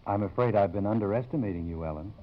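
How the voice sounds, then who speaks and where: Actor as deep-voiced earnest professor. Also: male voice, lightly acted. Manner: sincere, measured. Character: earnest, gentle middle-aged college professor in love. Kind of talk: 1950s radio drama acting. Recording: muffled old 1950s radio broadcast.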